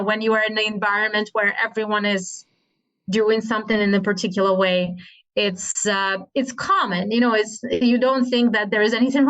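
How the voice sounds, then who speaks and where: A woman's voice: mid-range voice, low-quality recording. A woman from Russia with a Russian accent, speaking thoughtfully as a guest in a casual spiritual conversation, often restarting her sentences. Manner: thoughtful, with frequent restarts and soft laughs.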